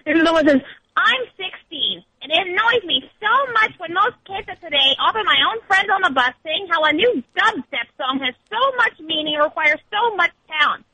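A woman's voice: very high voice, expressive, fairly quick, bright, forceful tone, no echo, low-quality recording. A young woman caller chatting casually and reading comments aloud, somewhat hesitant in manner. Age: young adult.

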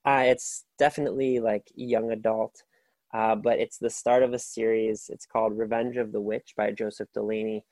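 A man's voice: fairly low pitch, little pitch movement, normal speed, darkish tone, no room sound. A man hosting a book podcast alone, talking casually and enthusiastically, with many fillers and restarts. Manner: rambling, filler-heavy, enthusiastic. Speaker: podcast host. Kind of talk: casual solo book recommendations.

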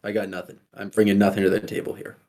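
A man's voice: on the low side, completely flat delivery, rapid-fire, darkish tone. A man co-hosting a podcast with casual banter in a dry, wry manner. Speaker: podcast co-host.

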